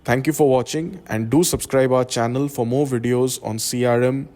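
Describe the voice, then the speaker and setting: A male presenter narrates an instructional software tutorial. His voice sits at a medium pitch. He explains the process one step at a time, as a guide to the viewer. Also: fairly even intonation, moderate pace.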